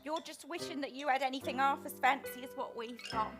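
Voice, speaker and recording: A woman's voice: sits high, brisk, over music, close to an everyday voice. A woman playing a vain, nasty, domineering mother in an improvised pantomime, gloating and falsely affectionate in a theatrical way. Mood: sneering.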